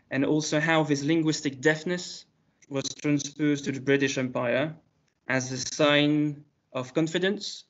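A man presenting a prepared academic paper at a conference, reading in a measured way with occasional restarts. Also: medium pitch. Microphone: video call. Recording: slightly muffled video call audio.

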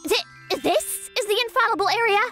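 A woman voicing a bashful but feisty, secretly sweet teenage schoolgirl maid in a manga romcom dub, shy and halting. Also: very high voice, lively intonation, pushed and bright, exaggerated voice. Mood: flustered. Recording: music behind the voice.

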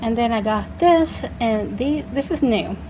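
A woman's voice: a little high, expressive, a little slow, noisy background. A young woman chatting casually and unscripted in a rambling, self-correcting way as she shows products to a handheld camera in a home kitchen. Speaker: vlogger, parent showing a grocery haul.

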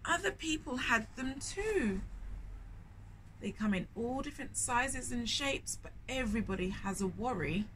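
A woman in her thirties reads a children's story aloud in a slightly high voice. She leans on key words expressively and often turns to ask her young listeners questions. The recording is made indoors in a bookshop, with faint noise behind her. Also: expressive, measured pace, a bit bright, rough recording. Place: indoors in a bookshop, in front of shelves.

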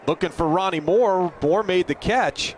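A man calling a college football game play by play, brisk and rising with excitement on big plays. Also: high-pitched. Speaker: play-by-play announcer. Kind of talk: live football play-by-play commentary. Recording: broadcast with loud crowd and noise behind.

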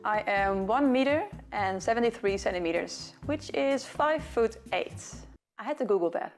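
A woman's voice: mid-range voice, animated, normal speed, soft background music. A young Dutch woman with a Dutch accent, chatting casually as she answers questions to camera. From Netherlands. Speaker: violinist and video host. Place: small living room in front of bookcases.

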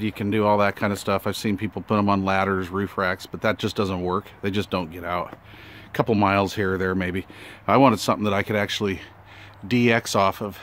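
Low-voiced man, casual outdoor walkthrough. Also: a bit bright. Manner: plain-spoken, informal, explanatory. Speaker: amateur radio hobbyist presenting his setup. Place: outdoors beside a camper trailer, briefly inside it. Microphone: handheld camera or phone, held by the speaker.

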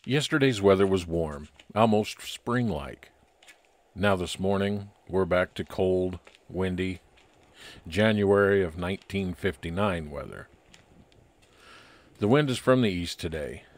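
Low-voiced man acting as a radio private eye narrator. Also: measured pace. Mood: measured, scene-setting. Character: male private investigator narrating his day, observant, friendly. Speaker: narrator and lead actor. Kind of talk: old time radio detective narration.

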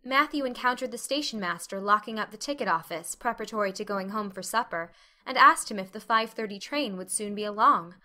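Female audiobook narrator, mid-pitched and bright, for dramatic reading of classic fiction. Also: animated, fairly quick. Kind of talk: scripted dramatic storybook narration.

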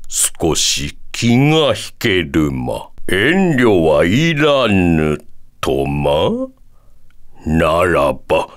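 A man voicing a wise, proud elderly bear-like sage in a video game, measured and calm, ending sentences with a quirky verbal tic. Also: low-pitched, heavily acted. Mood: courteous. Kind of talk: video game character voice acting.

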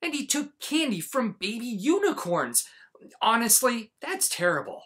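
A man in his forties reading a children's storybook aloud, with dramatic, exaggerated emphasis and pauses. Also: very high voice, sing-song, fairly bright tone, husky. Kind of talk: animated storybook read-aloud.

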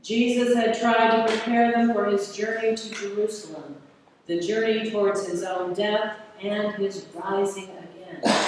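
A middle-aged woman preacher giving a slow, deliberate sermon from a pulpit, asking rhetorical questions, recorded from the back of a large church. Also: medium pitch, a little hiss or hum. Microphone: far camera at the back of the church. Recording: echoey church hall from far off.

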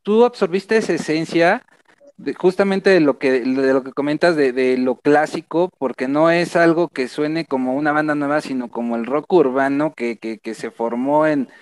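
A man's voice: fairly high pitch, moderate pace, clean tone, no room sound. A man in his thirties hosting an interview, asking questions conversationally through a headset mic on a video call. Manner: conversational, stammers at starts of phrases. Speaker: interviewer host.

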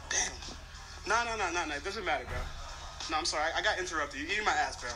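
A young man talks as if on a phone call, slangy and insistent, repeating himself. His pitch moves a lot, and loud music plays behind him. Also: lively intonation, fast. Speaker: prankster on a fake phone call. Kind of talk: staged casual phone conversation.

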